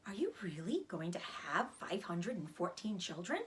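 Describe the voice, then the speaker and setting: A woman's voice: on the low side, very animated, moderate pace. A woman voicing an eager, innocent young male pig in a children's storybook, earnest and full of curious questions. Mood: dreamy, admiring. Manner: wide-eyed, earnest, questioning. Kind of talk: children's storybook read-aloud.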